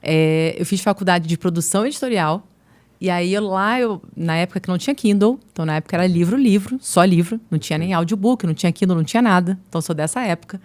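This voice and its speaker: A woman in her forties from Niterói, with a Rio de Janeiro accent softened by years in São Paulo, talking casually as an interview guest and acting out bits of dialogue as she tells stories. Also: on the low side, quick pace. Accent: Rio de Janeiro Portuguese, softened by years in São Paulo. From Niterói, Brazil. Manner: animated storyteller who acts out quoted dialogue. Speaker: guest, marketing executive.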